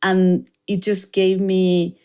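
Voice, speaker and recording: A woman's voice: mid-range voice, completely flat delivery, slow pace, a little mellow, smooth. A woman in her thirties from Chile with a Chilean Spanish accent, telling her story as a guest, reflective and peppered with "like". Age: 30s. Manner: reflective, with frequent "like" fillers. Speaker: guest filmmaker. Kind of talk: personal storytelling in an interview.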